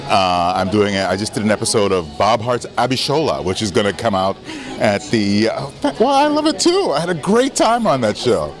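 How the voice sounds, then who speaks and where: A man's voice: on the low side, sing-song, moderate pace. A man talking casually at a premiere, rambling and self-deprecating. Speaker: actor guest. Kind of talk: casual carpet interview. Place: outdoor premiere carpet at a venue. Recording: busy crowd behind.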